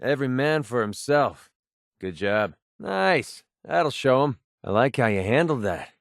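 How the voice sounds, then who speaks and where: Cocky mercenary, wildly swinging pitch. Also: male voice, animated, measured pace, gravelly, no echo, clean studio sound. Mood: dismissive, wry. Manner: sardonic, cocky, clipped. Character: cocky, sardonic young male wasteland mercenary gunman. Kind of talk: video game companion voice lines and combat barks.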